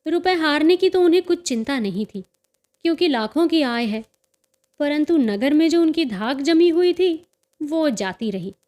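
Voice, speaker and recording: A cheerful teenage dandy played by a woman narrator brags in a storybook tale. The voice is high and slow-paced, full of proud, excitable detail about bird fights. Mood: excited, proud.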